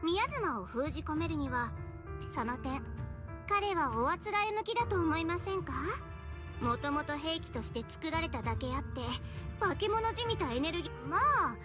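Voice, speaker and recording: A woman voicing a sharp, wry young heroine in a Japanese video game. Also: high voice, lively intonation, rapid-fire. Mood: intent, serious. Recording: game audio under loud music.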